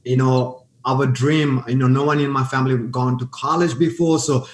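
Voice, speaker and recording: A man's voice: mid-range voice, fairly even intonation, normal speed, roomy sound. A man from Sri Lanka with a Sri Lankan accent, a guest telling his story with eager restarts and repeated words. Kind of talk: storytelling interview answers.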